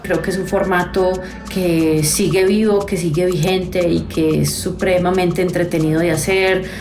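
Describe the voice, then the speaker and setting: Low, gravelly woman over music. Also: steady tone, measured pace. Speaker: viewer giving opinion. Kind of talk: casual spoken testimonial.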